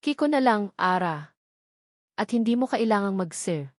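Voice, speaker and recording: A calm and gentle man of wealth and power in a romance audio story, played by a woman narrator. He speaks softly and kindly at a middle pitch, in a dry, close recording. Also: moderate pace, dry recording, lightly acted. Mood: calm, knowing. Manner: calm, soft-spoken.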